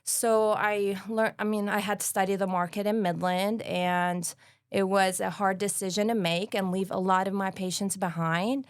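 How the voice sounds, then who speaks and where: Lebanese woman doctor chatting fast and earnest, lots of ums, dry close sound. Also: medium pitch, steady tone, clear, resonant tone, no echo. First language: Arabic. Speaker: guest, family doctor. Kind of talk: casual interview talk.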